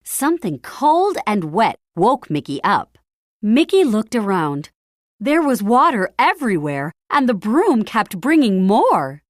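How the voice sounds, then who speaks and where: A woman narrating a children's storybook in an expressive read-aloud style, with deliberate, dramatic and emphatic phrasing. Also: mid-range voice, dry recording, clean studio sound.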